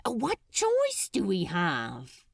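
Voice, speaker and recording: Woman voicing a cocky, reckless male demon, swooping pitch. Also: huge pitch swings, very slow, vocal fry, no room sound, clean studio sound, exaggerated voice. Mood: urgent coaxing. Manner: breezy, casual, coaxing.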